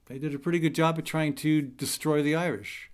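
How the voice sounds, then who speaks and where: Male radio host, slightly high voice, casual rambling commentary. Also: steady tone, brisk. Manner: rambling, with frequent fillers and restarts. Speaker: radio talk show host.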